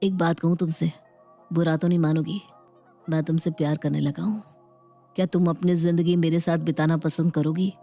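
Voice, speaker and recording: A woman voicing a smooth-talking young man who sweet-talks and persuades in a dramatized moral story. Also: deep voice, level tone, normal speed, dark tone, soft background music. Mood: tender. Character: smooth-talking young male online suitor, flattering and insistent.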